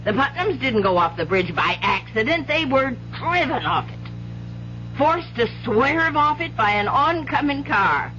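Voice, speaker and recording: An actress playing a haunted widow in a 1970s radio drama, speaking with dramatic, breathless urgency. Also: female voice, sits high, sing-song, unhurried, sharp tone, slight background noise, exaggerated voice. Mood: haunted, insistent. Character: haunted, insistent widow telling of a ghost. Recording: dull vintage radio recording with music.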